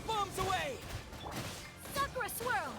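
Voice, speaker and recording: Very high young female fighter. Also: very animated, slow, a bit bright, soft background music, full character voice. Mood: fierce. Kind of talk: video game battle shouts.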